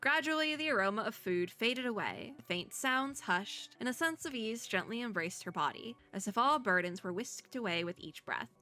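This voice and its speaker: Hoarse, giggly young woman gamer. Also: on the high side, expressive, normal speed, hardly any pauses, bright, forceful tone. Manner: giggly, chatty, cheers on characters. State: hoarse, sore throat. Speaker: VTuber. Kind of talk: casual let's-play commentary reading story text aloud.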